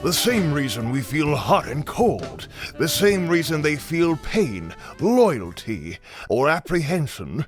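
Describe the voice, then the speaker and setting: An actor playing a genial, philosophical older scientist with an erudite, measured manner in a comic dub. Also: male voice, on the high side, big pitch swings. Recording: music behind the voice.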